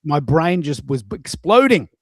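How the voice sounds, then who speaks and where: A man's voice: fairly high pitch, big pitch swings, normal speed, dry recording, studio quality. An Australian man hosting a casual online chat show, emphatic and repeating phrases for stress. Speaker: host of a live online audio show.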